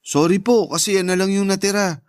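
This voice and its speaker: An actor playing a polite, proud young Filipino son in a radio drama, speaking earnestly. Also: male voice, sits high, steady tone, fairly quick, clean tone, no echo, studio quality, natural voice. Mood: apologetic. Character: meek but proud, hardworking young son. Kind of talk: Tagalog radio drama acting.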